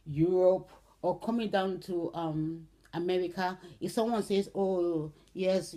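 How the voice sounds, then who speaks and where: A middle-aged woman from Brazil, speaking casually to camera with many "um"s and "okay"s, in an unhurried way. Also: lowish voice, dark tone. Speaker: vlogger. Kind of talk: casual spoken commentary to camera.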